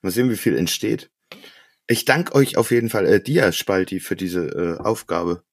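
A male podcast co-host speaks in an informal, conversational way. His voice sits fairly low and has a husky edge. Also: animated, normal speed. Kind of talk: casual chat among friends.

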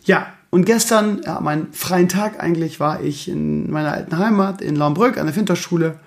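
A hoarse man with a gravelly, rough-edged voice that sits a little higher than most men's. He hosts his own podcast in loose casual chat, rambling and poking fun at himself as he grumbles. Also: moderate pace. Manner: rambling, self-mocking, grumbling. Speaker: podcast host.

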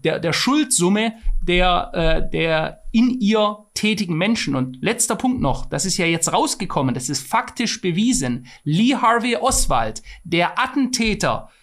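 A man's voice: sits high, very animated, a little slow, few pauses, a bit bright. A man co-hosting a podcast, talking in an emphatic, argumentative way, his voice climbing when he stresses a point. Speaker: podcast co-host. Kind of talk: casual conversational podcast.

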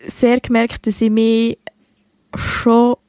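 A woman's voice: fairly high pitch, monotone, slow, darkish tone, dry recording. A young woman from Switzerland with a Bernese Swiss German accent, co-hosting a podcast in casual, chatty talk full of fillers and self-corrections. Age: young adult. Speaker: podcast co-host. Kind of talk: casual literary podcast chat.

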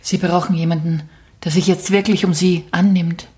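Woman acting smooth gallant stranger, courtly flattery, improvised radio play. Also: lowish voice, moderate pace, voice pushed down, close to an everyday voice. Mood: tender.

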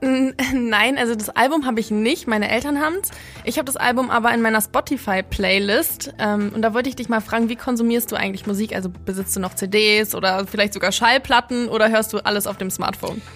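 Podcast co-host: young female, lightly raised pitch, informal chatty delivery, soft music underneath. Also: brisk. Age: young adult. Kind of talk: casual conversational podcast talk.

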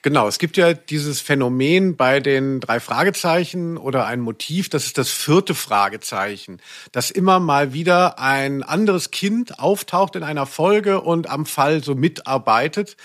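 A man's voice: a little high, animated, a little slow, fairly bright tone. A man co-hosting a podcast, chatting casually about audio dramas in a playful, mock-serious way. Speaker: podcast co-host.

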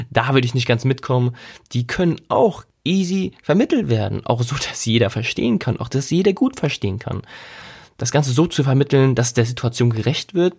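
A man's voice: mid-range voice, husky. A man from Germany, hosting a solo podcast in a casual, reflective, conversational way and stretching key words for emphasis. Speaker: podcast host.

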